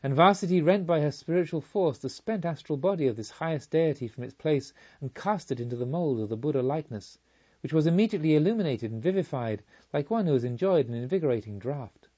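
A man reading scripted literary narration as an audiobook reader, in a solemn, measured manner. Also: fairly high pitch, lively intonation, a little mellow, muffled recording.

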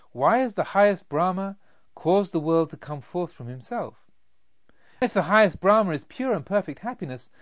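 A man's voice: high voice, no echo, lo-fi sound, pitched above the performer's own voice. A man voicing an agitated, fervent young male pilgrim, earnest, wordy and exclamatory, in a storybook reading.

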